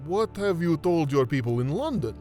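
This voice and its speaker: A man acting in an audio drama as an earnest, devout scholar, speaking deliberately and with dramatic emphasis. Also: a little high, very animated, darkish tone, soft background music. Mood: concerned. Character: earnest Middle Eastern man, devout scholarly friend and host.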